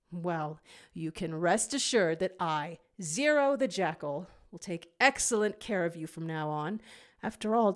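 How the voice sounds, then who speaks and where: A proud cartoon jackal, acted out by a woman, speaks in grand, formal phrases. He is vain and dramatic with a tender streak, and his pitch moves around in lively swings. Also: lightly acted.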